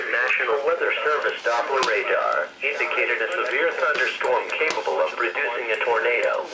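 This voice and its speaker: A man's synthetic voice delivers a scripted emergency weather bulletin. It is flat and measured at a middling pitch, heard over a weather radio with loud chatter around it. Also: poor audio. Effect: weather radio speaker. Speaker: automated weather radio warning announcer. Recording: radio broadcast picked up in room, chatter behind.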